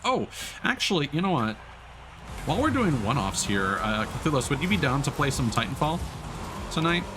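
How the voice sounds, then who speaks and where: A man's voice: medium pitch, big pitch swings, moderate pace, music in the background. A man doing casual live gaming commentary, thinking aloud and chatting to his viewers. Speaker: livestreamer, gamer.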